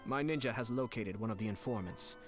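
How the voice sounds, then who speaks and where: Male actor as a polite, scheming young lord for a game: lowish, measured, formal, faint music behind. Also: natural voice. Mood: composed, commanding. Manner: measured, formal, smooth-talking. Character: composed, scheming young nobleman, polite and calculating. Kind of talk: video game voice acting. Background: soft game music.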